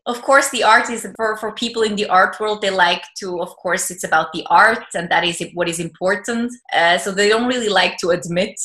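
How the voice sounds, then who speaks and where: A young woman talks through a laptop webcam from her living room, her voice bright and a little tense. The room gives it a noticeable echo. She chats easily, laughs often and restarts her sentences. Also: mid-range voice, moderate pace, hardly any pauses. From Switzerland. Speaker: art reporter. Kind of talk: casual video call interview. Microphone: laptop webcam video call.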